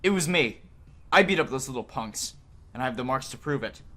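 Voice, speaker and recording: An actor playing a defiant, cocky teenage brother in a horror audio drama. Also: male voice, mid-range voice, animated, fairly quick, fairly bright tone.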